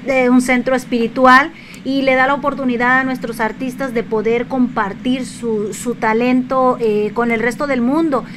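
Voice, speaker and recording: Woman, radio host, high voice, effusive, repetitive phrasing, clearly noisy online recording. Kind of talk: conversational interview.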